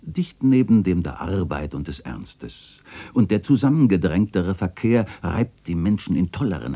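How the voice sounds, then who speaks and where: An actor playing a wistful old chronicler, narrating a literary radio play in a measured, lyrical and contemplative way, with a husky voice. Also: male voice, dark tone, full character voice. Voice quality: very deep, husky. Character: wistful old male chronicler reminiscing about his beloved alley.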